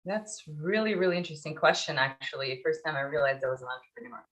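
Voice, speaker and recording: A woman in her forties from Ukraine, telling stories in a reflective, halting way as an interview guest. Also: low-pitched, lively intonation, a bit bright. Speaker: podcast guest, startup founder. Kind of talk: conversational interview storytelling.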